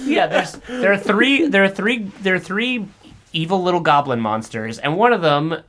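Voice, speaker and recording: A podcast co-host chatting casually about a film, retelling scenes in an animated way and acting out the characters' lines. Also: mid-range voice, normal speed, a loud crowd. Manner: acts out characters' lines while recounting.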